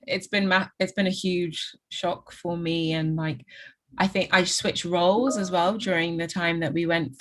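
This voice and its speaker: A woman in her twenties speaking on a video call panel, reflective and loose, with frequent fillers. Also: on the low side. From England. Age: 20s. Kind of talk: casual chat.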